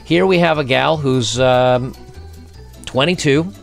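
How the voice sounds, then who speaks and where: A man's voice: medium pitch, slow pace, vocal fry, faint music behind. A middle-aged man hosting a casual advice monologue for men, speaking bluntly and conversationally. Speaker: host giving dating commentary and advice to men.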